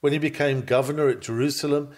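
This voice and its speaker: Man reading a sermon, measured delivery. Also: mid-range voice, steady tone, a little mellow. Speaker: reader of a sermon. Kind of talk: sermon read aloud from a written text.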